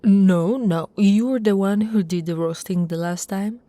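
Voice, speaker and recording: Female orc warrior, acted by a woman, ASMR roleplay, slow pace, soft-spoken, playful, tender, teasing, dry close-miked sound. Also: medium pitch, no room sound, lightly acted. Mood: teasing, competitive. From Italy. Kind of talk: ASMR roleplay, intimate acting. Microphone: close, dry microphone.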